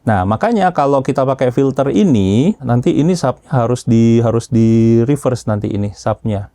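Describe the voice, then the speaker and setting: A man's voice: medium pitch, expressive, normal speed, warm tone, no echo. A man casually explaining technical matters as an expert, often checking understanding with short tag questions. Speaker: audio system engineer being interviewed as an expert.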